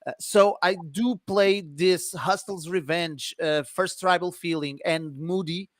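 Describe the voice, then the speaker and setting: A man from Portugal with a Portuguese accent, speaking English as a second language, hosting an interview in halting, repetitive speech. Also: sits high, no echo. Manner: halting non-native English, repeats phrases. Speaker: radio-style interview host, DJ. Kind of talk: casual interview chat.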